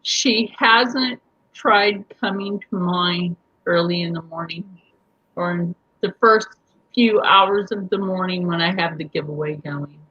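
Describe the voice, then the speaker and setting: Host: woman, husky, chatty, laughs a lot, dry lo-fi sound. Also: fairly low pitch, a little slow. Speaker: live show host. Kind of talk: casual group chat.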